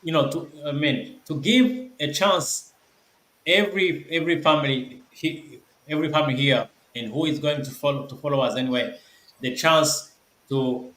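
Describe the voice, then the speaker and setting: Halting man hosting a call, echoey. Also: a little high, a bit bright. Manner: halting, many repeated words and restarts. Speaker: host of an online group call. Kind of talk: casual live call talk.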